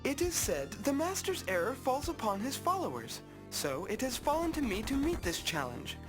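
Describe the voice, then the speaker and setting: High, earnest young game hero. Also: female voice, very animated, moderate pace, over music. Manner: earnest, formal. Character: earnest, brave young apprentice hero, polite and resolute. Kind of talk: video game cutscene acting.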